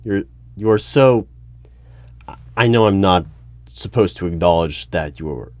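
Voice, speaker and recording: A man playing an awkward, officious hiring manager in an ASMR interview roleplay, speaking formally with halting stammers and over-polite phrasing. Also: deep voice, darkish tone, no room sound. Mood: awkwardly flattering. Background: computer keyboard typing.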